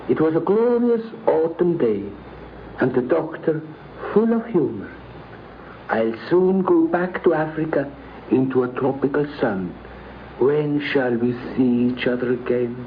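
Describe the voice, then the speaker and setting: A man telling a prepared anecdote in a formal, deliberate storytelling manner. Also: on the high side. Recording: muffled 1950s radio recording, faint noise.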